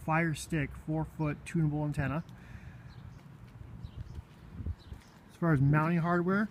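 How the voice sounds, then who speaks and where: A man with a slightly high voice talks through a hands-on walk-around in a casual, unscripted way. He is plainspoken and halting, often pausing mid-phrase. The recording is handheld around a vehicle, with some background noise. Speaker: vlogger showing his own vehicle radio install. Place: in and around his parked vehicle.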